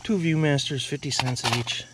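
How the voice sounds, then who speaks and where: A man's voice: fairly high pitch, normal speed. Middle-aged male reseller, offhand and musing, outdoor body-cam audio with insect noise. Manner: offhand, thinking aloud, unsure of values. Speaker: reseller showing his haul. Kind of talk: casual vlog narration. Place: outdoors by a car trunk, rural.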